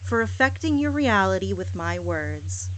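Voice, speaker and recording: A woman delivering a scripted satirical apology monologue, sarcastic and mock-contrite, with deliberate dramatic pauses and emphatic repetition. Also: mid-range voice, big pitch swings, poor audio. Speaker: hypnosis audio creator.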